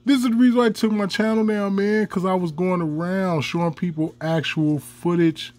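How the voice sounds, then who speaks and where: A man's voice: high voice, steady tone, normal speed, darkish tone. A man, ranting angrily in a profane commentary. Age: 40s. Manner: profane, heated, laughs mid-rant.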